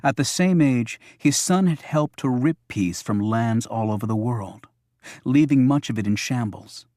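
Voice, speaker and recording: Guy narrating a thriller audiobook, mid-pitched voice, super dry sound. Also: a little slow, a little mellow, dry recording.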